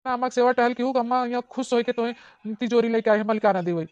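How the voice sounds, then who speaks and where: Woman acting a fast-talking, exasperated village husband. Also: fairly high pitch, darkish tone, dry recording, muffled recording, natural voice. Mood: scolding. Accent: Awadhi. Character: exasperated village husband, blunt but forgiving. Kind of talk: comedy cartoon acting.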